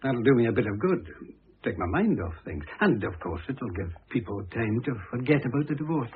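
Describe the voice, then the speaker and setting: An actor playing a self-important, self-pitying middle-aged divorced husband, pompous and long-suffering, in radio drama style. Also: male voice, mid-range voice, animated, brisk, slight background noise, lightly acted. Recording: muffled lo-fi old radio recording.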